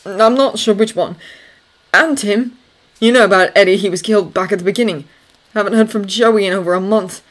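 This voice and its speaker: A woman's voice: medium pitch, a little fast, voice pushed up, lightly acted. A woman reading the part of a grieving young male servant in an audiobook, cross and sarcastic, with curt British slang. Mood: agitated, cross.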